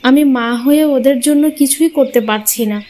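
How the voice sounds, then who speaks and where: A woman's voice: high voice, moderate pace, warm tone, slight background noise, natural voice. A woman voicing a long-suffering, sorrowful young mother in a cartoon fairy tale, earnest in manner. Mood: anguished.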